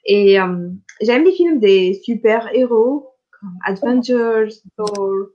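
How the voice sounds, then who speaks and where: Slow patient woman, lo-fi. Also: medium pitch, warm tone. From Tunisia. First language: Arabic. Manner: patient, clear, repeats questions. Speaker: French conversation partner. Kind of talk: slow language-practice conversation.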